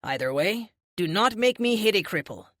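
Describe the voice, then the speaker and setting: A woman voicing a stern but not evil armed henchman in an audiobook, measured in manner. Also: mid-range voice, lively intonation, a bit bright, no echo, studio quality. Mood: stern warning.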